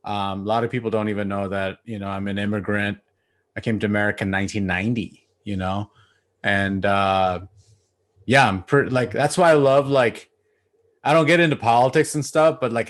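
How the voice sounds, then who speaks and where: Relaxed low-voiced man chatting on a call. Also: normal speed. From Bangladesh. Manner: relaxed, laughing, lots of fillers. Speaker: guest, DJ. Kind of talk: casual interview chat. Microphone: video call.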